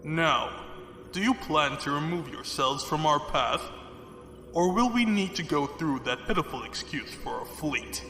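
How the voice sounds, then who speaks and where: A man's voice: a little high, lively intonation, unhurried, bright, forceful tone, heavily acted. A man playing a courtly, condescending, ruthless fleet commodore villain in an audio drama, speaking formally. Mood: cold, menacing. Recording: echoey hall reverb, music behind.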